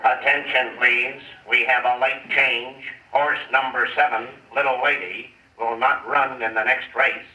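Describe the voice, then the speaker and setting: An actor playing a solemn, deadpan racetrack announcer in an old radio comedy, speaking formally and deliberately. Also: male voice, medium pitch. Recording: echoey, distant, muffled loudspeaker sound.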